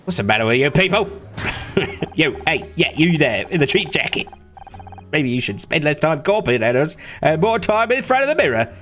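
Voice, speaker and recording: A man's voice: on the high side, very animated, moderate pace, a bit bright, soft background music, pitched above the performer's own voice. Man voicing a sassy young actress. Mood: mocking. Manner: sassy, mocking. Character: brash young female actress, sharp-tongued.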